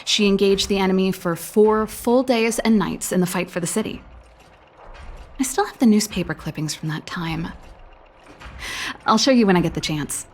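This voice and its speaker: A woman's voice: mid-range voice, moderate pace, long pauses, a little hiss or hum, natural voice. A woman playing a breezy, confident older-sister mechanic in a video game, chatty and teasing with a nostalgic streak. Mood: proud, storytelling.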